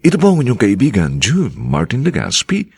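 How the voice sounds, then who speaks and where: A man announcing cast and credits for a radio drama in a formal, measured way. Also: mid-range voice, huge pitch swings, a little mellow, no room sound. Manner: slow, gravelly, measured delivery.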